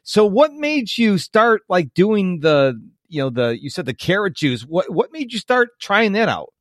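A man's voice: sits high, sing-song, measured pace, no room sound, studio quality. A man in his fifties hosting an interview, chatty and prone to long rambling questions. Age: 50s. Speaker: podcast host. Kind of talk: casual interview.

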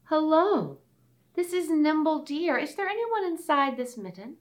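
Sweet young girl deer from a storybook, high and polite, as a woman puts her on. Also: very animated, unhurried. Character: playful young female deer.

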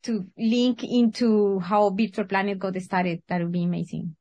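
A woman hosting a podcast, speaking in a measured, polite, deliberate way as she presents and interviews. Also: mid-range voice, steady tone, dark tone, no echo. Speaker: podcast host. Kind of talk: scripted introduction and interview hosting.

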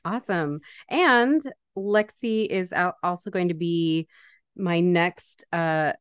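Bubbly low-voiced woman podcast host. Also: takes it slowly, smooth, no room sound, studio quality. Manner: bubbly, laughs often, many fillers. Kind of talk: casual podcast interview.

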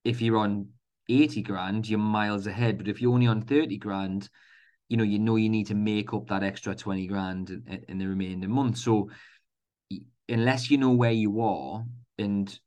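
Geordie male podcast host, lowish voice, casual and chatty, lots of fillers. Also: a little mellow. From North East England. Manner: chatty, informal, many fillers and restarts. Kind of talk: solo motivational podcast.

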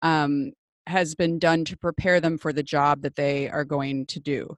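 A woman's voice: sits low, level tone, measured pace, no room sound. Midwestern American woman hosting, dry close sound. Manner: chatty, laughs readily. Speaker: podcast host interviewing a guest. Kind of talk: casual conversational interview.

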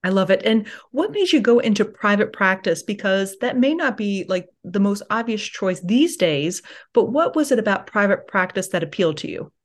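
An upbeat woman hosts a podcast interview in a chatty, enthusiastic way. Her voice sits at a medium pitch. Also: expressive, moderate pace, hardly any pauses. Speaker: podcast host, general surgeon. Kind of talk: casual interview hosting.

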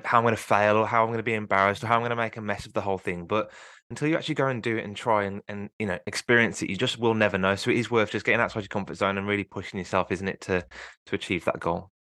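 A man with a Birmingham accent hosts a conversational interview, encouraging his guest and often affirming what he says. His voice sits fairly low for a man as he asks his questions. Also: bright, forceful tone, no echo, studio quality. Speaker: interview host.

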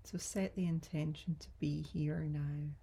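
A woman guiding a yoga nidra relaxation in a soft, breathy, soothing voice, gentle and unhurried with long pauses. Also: deep voice, fairly even intonation. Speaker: yoga nidra meditation guide. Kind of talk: guided relaxation meditation.

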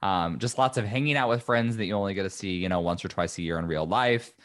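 Male podcast host, lowish register, friendly chatty delivery, faint scratchiness from a recently lost voice. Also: fast talker, fairly bright tone. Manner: chatty, upbeat, frequent ums and asides. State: recovering from lost voice, slightly scratchy. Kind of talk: casual solo podcast talk.

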